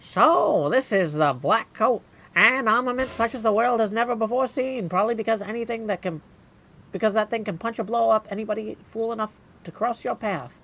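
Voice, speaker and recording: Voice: medium pitch, fairly quick, a bit bright, voice pushed up. A game streamer voicing a bold, theatrical young heroine from a fantasy game in a dramatic, declamatory way. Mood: proud, dramatic. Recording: clear background noise.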